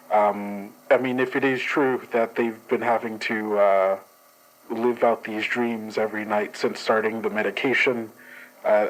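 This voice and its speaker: A man's voice: on the low side, natural voice, rough recording. A man playing a formal, guarded dream investigator who hesitates and speaks in stiff bureaucratic phrasing. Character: formal, guarded male agent analysing dreams. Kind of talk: actual play, in-character report.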